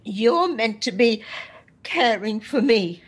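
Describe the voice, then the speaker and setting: An actress playing a frail elderly woman losing her memory, husky and faltering, trailing off mid-sentence. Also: fairly high pitch, lively intonation, takes it slowly, full character voice. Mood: pleading. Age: older.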